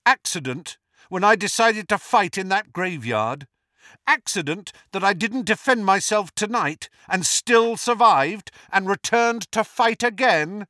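Male narrator as resolute teenage wizard hero, firm, challenging, lively swings in pitch, dry storybook recording. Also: a little slow, no echo.

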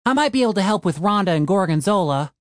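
Earnest young male adventurer, measured and lifted in pitch, voiced by the narrator. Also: animated, no room sound, clean studio sound. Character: earnest young man adventurer, grieving but determined.

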